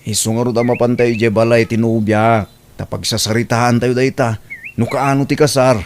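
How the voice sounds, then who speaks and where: A man's voice: medium pitch, level tone, moderate pace, natural voice. A man playing a brash young suitor in an audio drama, eager and evasive, drawling and calling out. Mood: eager, pleading.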